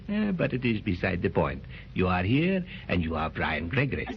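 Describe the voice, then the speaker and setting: An actor playing a smooth, sinister villain who speaks in a measured, silky, menacing way, in 1930s radio acting on a dull old recording. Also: male voice, sits low, huge pitch swings, full character voice. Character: smooth sinister older villain, bribing mastermind. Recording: dull, faintly noisy 1930s transcription.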